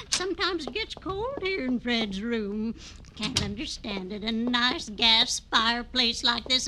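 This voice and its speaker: High-voiced, chatty, fussing landlady. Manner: chirpy, rambling. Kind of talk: old-time radio drama acting. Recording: old radio recording, faint hiss.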